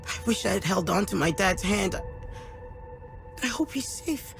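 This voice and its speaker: A performer playing a frightened, lost young boy who speaks haltingly in a video game cutscene. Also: medium pitch, lively intonation, vocal fry, soft background music. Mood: sad, hopeful. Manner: hesitant, halting, slow.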